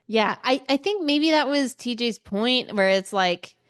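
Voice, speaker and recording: A woman's voice: a little high, expressive, unhurried, fairly bright tone. A woman co-hosting a book podcast, chatting casually and expressively, readily slipping into quoted lines. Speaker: book club podcast co-host. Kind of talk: casual book discussion chat.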